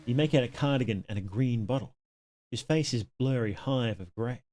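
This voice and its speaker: A man's voice: mid-range voice, normal speed, a little mellow, soft background music. A man live-streaming, reading game text aloud and making casual asides to his viewers.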